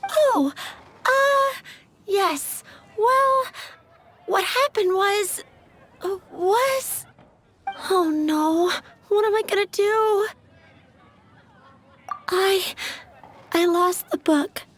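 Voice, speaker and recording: A woman's voice: very high voice, very slow, long pauses, fairly bright tone, a little hiss or hum, exaggerated voice. A woman playing a sweet, anxious young flower seller in a video game, earnest and easily flustered. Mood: flustered, panicked.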